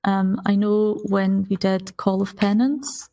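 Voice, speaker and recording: A woman's voice: medium pitch, fairly even intonation, slow pace, warm tone, a little hiss or hum. Woman chatting, slightly distant from mic. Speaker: podcast player and panellist. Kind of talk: casual chat.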